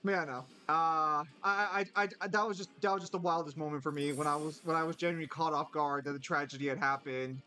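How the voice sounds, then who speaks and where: A man from the United States streaming a game and chatting casually with friends, animated and swearing freely. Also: on the high side, fast talker, hardly any pauses, clean tone, soft background music. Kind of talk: casual gaming stream chat.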